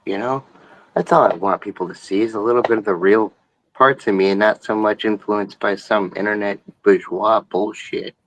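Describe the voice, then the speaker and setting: A man's voice: fairly low pitch, fairly even intonation, normal speed, slight background noise, poor audio. A young man talking earnestly on a late-night stream, rambling and swearing a lot.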